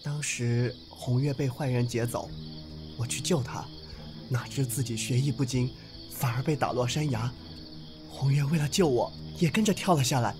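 A man's voice: medium pitch, normal speed, over music, lightly acted. An actor in an audio drama playing a naive, proud young swordsman from the ancient past, earnest and courtly in his wording. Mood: earnest, hopeful.

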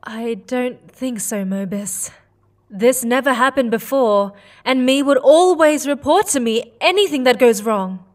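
Determined alien mother played by a woman, high and insistent, slightly distant mic, audio drama. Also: a little slow, close to an everyday voice. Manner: earnest, insistent. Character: worried, determined alien mother on a space mission. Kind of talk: sci-fi audio drama acting.

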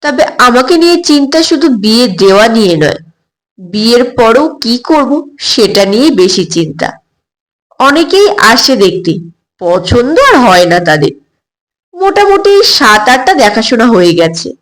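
A woman's voice: a little high, big pitch swings, normal speed, poor audio. A woman narrating an audio story expressively in the first person.

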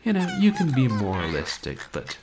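A man in his thirties, a game streamer chatting casually at home in a wry, rambling, animated way. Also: lowish voice, normal speed, background noise. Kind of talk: casual livestream chat. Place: small room at home, indoors.

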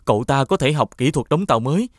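A man playing a noble, honourable young prince in an animated fairy tale, speaking earnestly and formally. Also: medium pitch, fast talker, no room sound, studio quality, lightly acted.

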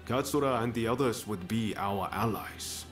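A man's voice: fairly low pitch, normal speed, close to an everyday voice. Man voicing an earnest young samurai, music behind. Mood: thoughtful. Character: earnest young samurai swordsman, idealistic and thoughtful. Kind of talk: video game cutscene voice acting.

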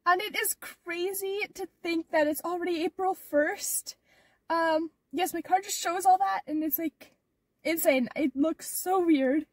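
Teen girl vlogger, very high voice, stuffed-up nose, rambling with fillers, heard from a distance. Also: unhurried, no room sound. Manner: rambling, many "like" and "or whatever" fillers. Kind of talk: casual vlog chat. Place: inside her car.

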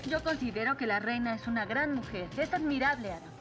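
Woman as spirited female guard captain, cartoon dub, Latin American Spanish, high pitch, confident, music behind. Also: expressive, fairly quick, natural voice. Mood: admiring. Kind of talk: dubbed cartoon acting.

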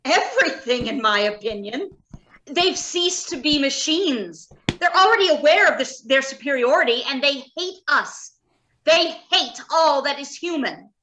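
A woman's voice: high voice, lively intonation, unhurried, sharp tone, slight background noise, exaggerated voice, low-quality recording. A woman acting a defiant, guilt-ridden scientist in an old radio drama, emphatic and declamatory. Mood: defiant, earnest.

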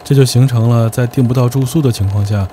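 A man narrating his travel vlog in a calm, explanatory way, giving practical tips. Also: medium pitch, quick pace, heavy background noise. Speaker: travel vlogger. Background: background music.

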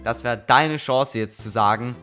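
A man's voice: mid-range voice, measured pace, bright, forceful tone. A young man records a let's play with a friend over faint game music. He talks in an everyday male register, casual and jokey. Now and then he slips English phrases into his banter. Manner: joking, sprinkles in English phrases. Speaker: let's player. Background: video game music.

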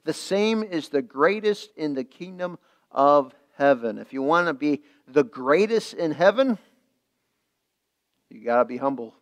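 A male pastor preaching a midweek sermon, earnest, repeating phrases and restarting lines. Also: on the high side.

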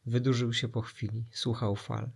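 A man narrating an adventure story for children expressively, with suspense and dramatic pauses. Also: medium pitch, flat delivery, creaky, husky.

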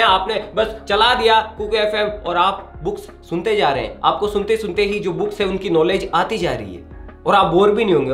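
A young man presents to camera as a show host in an excitable, familiar manner. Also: sits high, reverberant. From India. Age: young adult. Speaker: host of a science experiment channel. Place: indoor studio with plain grey backdrop. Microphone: clip-on lapel mic.

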